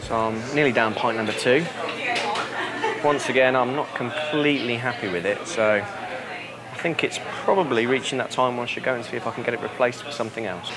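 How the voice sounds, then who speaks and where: A man's voice: mid-range voice, brisk. A man in his thirties with a British accent, chatting casually as the presenter of a prank in a pub. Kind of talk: casual hidden-camera prank narration and chat. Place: busy pub. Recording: loud pub crowd and music.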